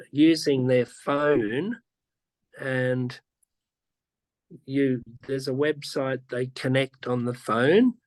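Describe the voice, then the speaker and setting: A man's voice: medium pitch, no room sound, poor audio. A man, a teacher, patiently explaining classroom ideas in a casual online interview, often restarting his sentences. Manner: patient, slow, with frequent restarts and repeats. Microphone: video call.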